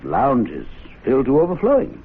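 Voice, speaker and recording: An actor playing an urbane, wry club gentleman in a 1970s radio drama, arch and well-spoken. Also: medium pitch, wildly swooping pitch, a little slow, a little hiss or hum. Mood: wry, probing. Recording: tinny, band-limited vintage radio recording.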